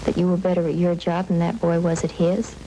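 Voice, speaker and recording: Actress as low-voiced, steady American confidante. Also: female voice, lightly acted. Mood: earnest, persuasive. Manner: earnest, measured, reassuring. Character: steady, supportive policeman's female confidante, frank and caring. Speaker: radio drama actress. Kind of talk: old-time radio drama acting. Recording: noisy old radio recording, steady hiss.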